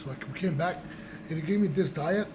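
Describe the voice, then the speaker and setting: A young man with a voice gone raspy from a cold, chatting casually to his viewers in a rambling way with many restarts and fillers. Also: fairly high pitch, brisk, noisy background. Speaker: vlogger. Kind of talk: casual chat to camera.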